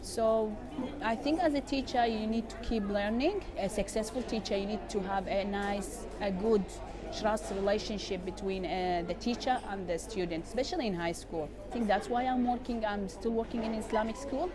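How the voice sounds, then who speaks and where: A woman who learned English later in life, a high school teacher speaking earnestly in an interview, with hesitations and non-native phrasing. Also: moderate pace, a crowd in the background. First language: not English. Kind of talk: reflective interview testimony. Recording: clear background noise.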